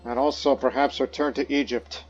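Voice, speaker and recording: A man at a middle pitch gives loose, rambling commentary on a strategy game, full of fillers and restarts. The recording is slightly dull, with soft game music underneath. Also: level tone, moderate pace. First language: not Croatian; studied Croatian as a second language. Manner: rambling, many fillers and restarts, speaks in character as his ruler. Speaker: let's play host. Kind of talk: casual gameplay commentary. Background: faint game music.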